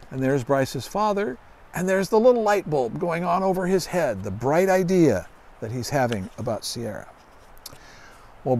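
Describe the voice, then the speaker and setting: A middle-aged man reading a children's storybook aloud outdoors in a deliberate, expressive way. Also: mid-range voice. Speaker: guest author reading own children's book. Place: outdoors on a grassy lawn by trees.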